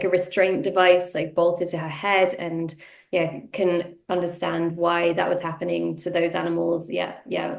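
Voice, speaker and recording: A woman's voice: fairly low pitch, vocal fry, a little mellow. A woman, giving a casual personal talk while sniffly from a cold, with many ums and yeahs. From North Yorkshire, England. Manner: conversational, many fillers like um and yeah. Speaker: guest speaker, activist. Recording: roomy echo.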